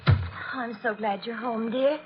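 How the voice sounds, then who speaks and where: A woman's voice: fairly high pitch, steady tone, normal speed, soft background music, close to an everyday voice. A woman acting as an anxious, devoted wife in a 1940s radio horror drama, hesitant and fluttery, often stammering. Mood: fluttery concern. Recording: dull vintage radio recording.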